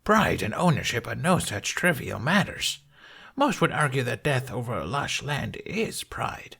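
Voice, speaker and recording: A man voicing a proud, blunt female dragon for an audiobook, with a raspy, strained voice and formal, imperious speech. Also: medium pitch, sing-song, a little slow, sharp tone, voice pushed up, heavily acted. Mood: disdainful.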